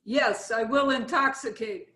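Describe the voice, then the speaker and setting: A woman's voice: fairly high pitch, a little slow, pushed and bright. A woman playing a brazen foreign artists' model with a Russian accent, speaking blunt, clipped broken English in a play reading. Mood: matter-of-fact. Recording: roomy, echoey sound.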